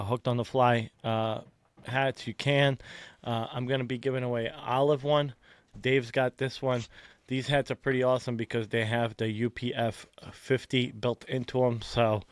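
Casting: male podcast host, mid-pitched, rambling and loose, dry close recording. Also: no echo. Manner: loose, rambling, lots of filler words. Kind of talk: casual podcast chat.

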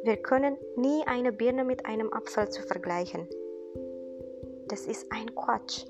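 A young woman from Indonesia speaking German with an Indonesian accent, giving an earnest, encouraging podcast monologue in halting non-native phrasing. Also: on the high side, lo-fi sound. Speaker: podcast host, student. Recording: music bed behind voice.

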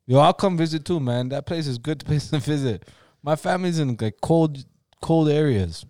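Lively, teasing man in a dry room. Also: fairly high pitch, moderate pace, dry recording. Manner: joking, teasing, says "yo" a lot. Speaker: podcast co-host. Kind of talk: casual chat.

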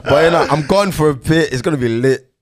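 A man's voice: fairly high pitch, fast talker, a crowd in the background. A young man, joking around as co-host of a casual podcast. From Ghana. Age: 20s. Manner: animated, joking, talks over others. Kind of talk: casual chat.

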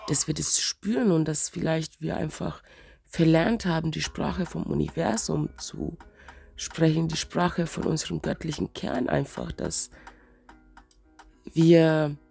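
A woman with a cold, sounding stuffy and wheezy, musing slowly and reflectively in a casual spiritual vlog. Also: low voice, vocal fry, dark tone, soft background music. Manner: slow, searching, reflective musing. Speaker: vlogger.

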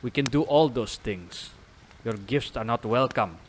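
Male actor: devout village father, stern and solemn, mid-pitched, archaic formal phrasing, audio drama with ambient noise. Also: a little slow, lightly acted. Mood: stern, suspicious.

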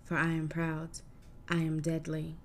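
A woman voicing a proud, defiant cursed gorgon woman in a hushed, breathy recitation. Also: low voice, completely flat delivery, unhurried.

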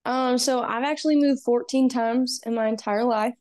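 A young woman from Texas speaks as a musician guest, earnest and upbeat. She fills her sentences with "um" and "you know", and her voice sits fairly high. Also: fairly even intonation, normal speed, darkish tone. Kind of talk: casual interview.

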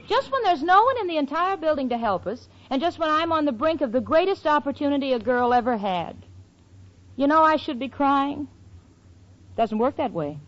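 A woman's voice: high-pitched, a bit bright, heavily acted. An actress playing a wry, long-suffering young woman in a 1940s radio sitcom. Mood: wry narration. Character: exasperated, wisecracking young female roommate. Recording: muffled old radio, studio audience, hiss.

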